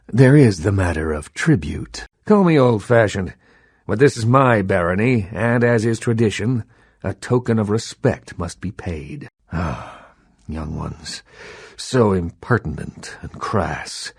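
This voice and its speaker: An actor playing an urbane, sardonic vampire baron in a video game, who talks in a quietly threatening way. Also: male voice, fairly low pitch, animated, unhurried, breathy edge, dry recording, studio quality, exaggerated voice. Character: old vampire baron, suave, menacing film buff.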